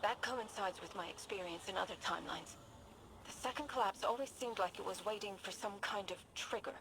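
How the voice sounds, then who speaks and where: An actress in a video game speaking in a weary, resigned way. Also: female voice, mid-range voice, a little fast, noisy background, natural voice.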